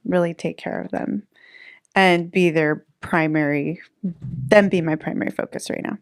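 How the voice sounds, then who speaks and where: A woman's voice: lowish voice, fairly even intonation, unhurried, no echo, clean studio sound. A woman in her thirties, a real estate agent chatting casually in an interview, laughing easily between fillers. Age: 30s.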